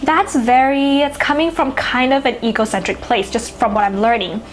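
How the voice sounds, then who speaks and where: A woman's voice: on the high side, steady tone, moderate pace, slight background noise. Musing woman, native Thai speaker. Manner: musing, filled with "you know" and "like". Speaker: astrologer. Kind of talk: reflective casual talk to camera.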